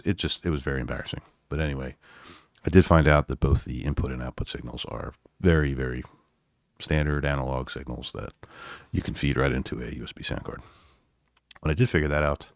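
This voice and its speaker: A man giving a casual, unscripted electronics demo in a halting, self-deprecating way with wry humor. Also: a bass voice, no room sound, studio quality. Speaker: electronics hobbyist presenter. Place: indoors at a home workbench.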